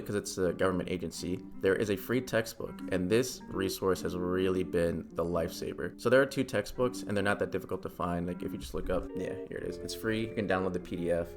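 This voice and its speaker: A young American man hosting a channel in a casual, self-deprecating explainer voice-over, speaking informally. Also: low voice. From United States. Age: young adult. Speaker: language-learning channel host.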